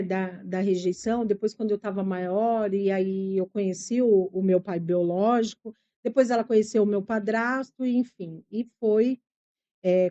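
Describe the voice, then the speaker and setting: Woman, São Paulo accent, middle-aged, fairly high voice, earnest and reflective, podcast guest. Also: dark tone, muffled recording. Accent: São Paulo Brazilian Portuguese. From São Paulo, Brazil. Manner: earnest and reflective, explains patiently. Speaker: psychologist guest. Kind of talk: podcast interview, personal storytelling.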